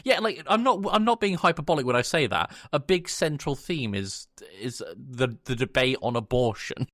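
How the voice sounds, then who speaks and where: A man chats casually as a podcast host. His mid-pitched voice moves up and down a great deal, and he speaks bluntly. The recording is dry. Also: animated, moderate pace, a bit bright, dry recording.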